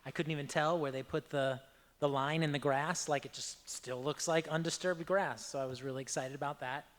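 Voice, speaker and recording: A man's voice: a little high, steady tone, fairly quick, a bit bright, long reverb tail. Upbeat councilman, brief remarks. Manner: upbeat, enthusiastic. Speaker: council member. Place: council chamber.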